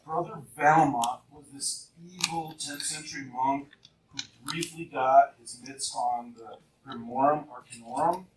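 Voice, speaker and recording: A man's voice: medium pitch, unhurried, close to an everyday voice. A man playing a gargoyle hero who tells of old battles in a casual way, in a live radio play. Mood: wry storytelling. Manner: casual storytelling. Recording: roomy, noisy, distant live recording.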